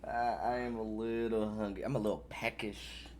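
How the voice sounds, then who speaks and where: A man's voice: on the low side, level tone, moderate pace, a little mellow, a little hiss or hum. A man streaming live, chatting casually, joking around and laughing often.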